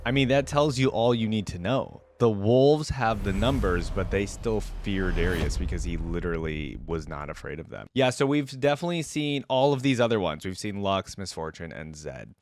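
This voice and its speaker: A man's voice: on the low side, expressive, moderate pace, hardly any pauses, a little mellow. A man hosting a reaction video, commenting casually and musing aloud in informal, slangy speech. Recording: faint music behind the voice.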